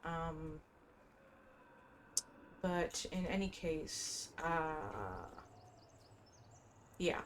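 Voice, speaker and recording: A woman's voice: deep voice, big pitch swings, very slow, long pauses, a little hiss or hum. A woman doing casual gameplay commentary, chatty and musing as she plays and reads quest text aloud. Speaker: gameplay streamer. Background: faint game music.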